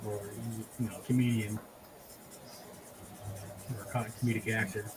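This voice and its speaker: A man hosting a relaxed, casual group chat about pop culture. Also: fairly low pitch, measured pace, noisy background. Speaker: host of a drink and draw show.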